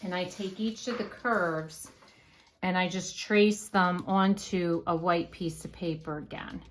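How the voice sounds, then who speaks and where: A woman explaining a paper craft step by step in a casual, unhurried way with frequent fillers, her voice going hoarse. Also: on the low side, fairly even intonation, slight background noise. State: losing her voice, coughing. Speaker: craft tutorial host.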